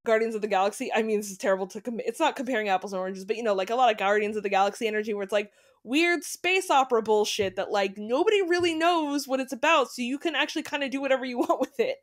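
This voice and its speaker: Woman podcast co-host, slightly high voice, loose and chatty with asides. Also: fast. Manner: chatty, frequent fillers and asides. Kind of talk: casual chat.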